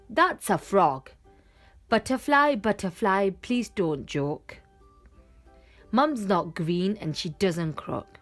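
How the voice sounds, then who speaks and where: A woman voicing a small lost monkey child in a children's storybook, protesting emphatically as it searches for its mum. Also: lowish voice. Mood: exasperated, protesting. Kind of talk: children's picture book read-aloud.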